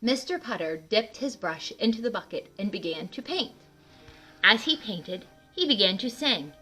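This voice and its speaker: A woman reading a picture book aloud to children in an animated way, adding asides and questions to the listeners. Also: mid-range voice, moderate pace, breathy edge, faint music behind, low-quality recording. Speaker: read-aloud host for children.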